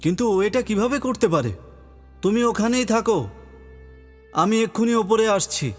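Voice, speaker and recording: A man's voice: sits high, lively intonation, normal speed. A man voicing a brave, kind young prince in a cartoon fairy tale, earnest and reassuring. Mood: concerned. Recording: long hall reverb, music underneath.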